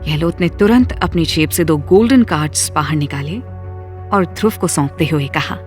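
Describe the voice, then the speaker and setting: Casting: audio story narrator, mid-pitched voice, scripted storytelling, soft music underneath. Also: normal speed.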